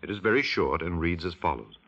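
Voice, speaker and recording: A man's voice: deep voice, animated, fairly quick, fairly bright tone. An American man delivering scripted vintage radio narration as an announcer, solemn, emphatic and rhetorical. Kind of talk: scripted mid-century radio civics narration. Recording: dull vintage radio recording.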